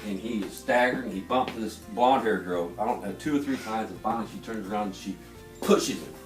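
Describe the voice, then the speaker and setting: A middle-aged man telling loose, profane anecdotes to friends in an animated way. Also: fairly low pitch, a little fast. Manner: animated, profane, laughing through stories, quoting people. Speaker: storyteller. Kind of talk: casual anecdote among friends. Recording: echoey room, far from mic, music behind.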